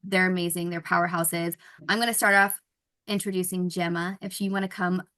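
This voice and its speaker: A woman's voice: on the low side, steady tone, brisk, bright, forceful tone. A woman hosting a trading webinar on a video call, introducing her co-coaches with enthusiasm. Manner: enthusiastic, praising. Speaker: trading teacher and webinar host.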